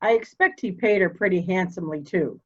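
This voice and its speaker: A woman playing a proud, formal, candid elderly mother in a murder mystery reading, speaking deliberately. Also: on the low side, a bit bright, breathy edge, dull audio. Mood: composed, candid. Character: proud, cold old mother, candid and slightly deaf.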